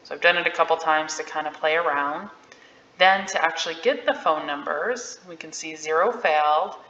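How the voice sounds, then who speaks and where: A woman giving a casual, candid software walkthrough in an informal, unscripted way. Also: deep voice. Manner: informal, candid about not being an expert. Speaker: realtor giving a software tutorial. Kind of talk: casual screen-share walkthrough. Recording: dull, roomy-sounding audio.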